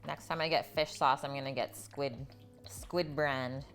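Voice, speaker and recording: A woman's voice: extremely low pitch, steady tone, a little slow, faint music behind. A woman hosting a live cooking stream, talking in a chatty, informal way to viewers as she works and tells stories. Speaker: cooking livestream host.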